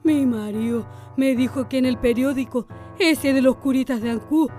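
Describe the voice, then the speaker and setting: An actress as a gossipy Chilean village wife in a radio play, with a high voice. She talks in a homely, colloquial way as she spreads bad news, with music underneath. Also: fairly even intonation, normal speed, dark tone, close to an everyday voice. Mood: worried gossiping. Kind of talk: radio drama acting.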